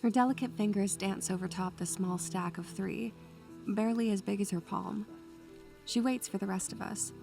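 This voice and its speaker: A woman's voice: mid-range voice, fairly even intonation, normal speed, gravelly, dark tone, soft background music, natural voice. A woman playing a bookish, anxious young student who narrates her memories in a literary, atmospheric style. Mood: brooding, wistful. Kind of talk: atmospheric first-person scripted narration.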